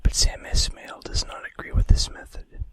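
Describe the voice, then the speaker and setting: A man whispering breathily and gently into a close microphone as an ASMR host, reading out facts with casual, hesitant asides. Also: normal speed.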